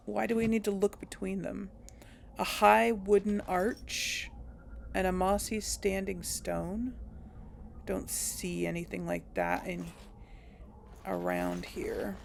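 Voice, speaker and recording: A woman's voice: mid-range voice, lively intonation, takes it slowly, gravelly, pauses often, a little hiss or hum. A young adult woman giving casual commentary on a game she plays, reacting aloud with laughs and wry remarks. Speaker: let's play host.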